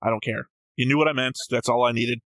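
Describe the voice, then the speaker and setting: A man's voice: mid-range voice, steady tone, fast, a bit bright, no room sound, poor audio. A man talking casually as a reaction show host, opinionated and analytical, with a blunt, flippant way of speaking.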